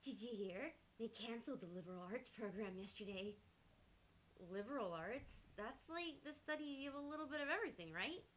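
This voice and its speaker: A woman's voice: a little high, expressive, normal speed, a bit bright. A woman game streamer reading a visual novel aloud in a playful, casual way. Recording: faint background hiss.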